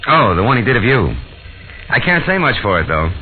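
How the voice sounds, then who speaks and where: A man's voice: mid-range voice, sing-song, fairly quick, natural voice. An American man playing a weary, shrewd, relentless private eye in a 1940s radio drama, speaking in a clipped, wry, pressing way. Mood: dry, casual. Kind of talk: 1940s radio detective drama, narration and dialogue. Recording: old lo-fi radio broadcast, music behind.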